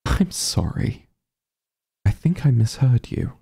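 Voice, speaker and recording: A man playing an arrogant, menacing sorcerer king in an ASMR roleplay, pompous and condescending, with deliberate formal diction. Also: mid-range voice, big pitch swings, gravelly, warm tone, husky, exaggerated voice. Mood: offended, imperious.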